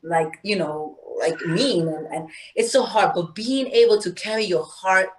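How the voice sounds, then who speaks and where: A woman's voice: fairly low pitch, measured pace, low-quality recording. Earnest woman from Peru sharing stories. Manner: earnest, spiritual, animated, acts out quoted voices. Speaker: guest. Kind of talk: candid conversational storytelling.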